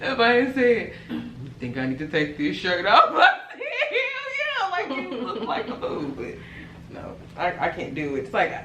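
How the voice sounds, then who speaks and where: Woman, lively swooping pitch, bright voice, roomy echo, faint noise. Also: normal speed. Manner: animated storyteller, laughs often, breaks into loud high squeals. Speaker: podcast co-host. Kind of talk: casual chat. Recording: echoey room, mic at a distance, faint noise.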